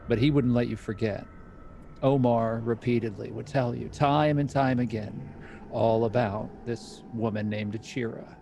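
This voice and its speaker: Man with a mellow voice narrating a tabletop game. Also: medium pitch, fairly even intonation, vocal fry, slight background noise. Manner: measured, descriptive narration. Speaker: game master. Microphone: streaming mic.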